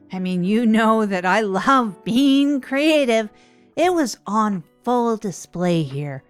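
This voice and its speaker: A woman's voice: medium pitch, natural voice. Woman as an earnest small-town reporter, music behind. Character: enthusiastic, overwhelmed small-town gazette reporter narrator. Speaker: narrator and series creator. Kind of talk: conversational comedy narration.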